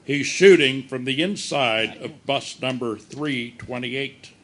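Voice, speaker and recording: A man playing a brisk police captain giving orders in a live old-time radio drama parody. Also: medium pitch, a crowd in the background, close to an everyday voice. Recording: noisy live shipboard stage performance.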